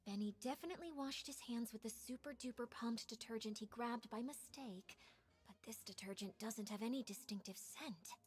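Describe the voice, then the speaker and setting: A woman's voice: a little high, normal speed, breathy edge. A woman voicing a polite, composed young female investigator with an analytical manner in a video game.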